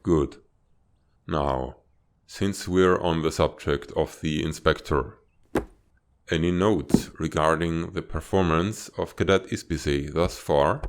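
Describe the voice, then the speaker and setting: A man voicing a stern reptilian spymaster in a comic dub, speaking in a formal, measured, authoritative way. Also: very deep voice, heavily acted. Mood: formal, businesslike. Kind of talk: dramatic fan-dub comic acting.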